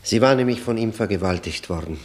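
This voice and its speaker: An actor playing a grave, quietly insistent accuser in a radio play, measured, ironic and politely persistent. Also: male voice, on the low side, lightly acted. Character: grave, probing, quietly insistent accuser doubting the justice system. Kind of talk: German radio play acting, 1960.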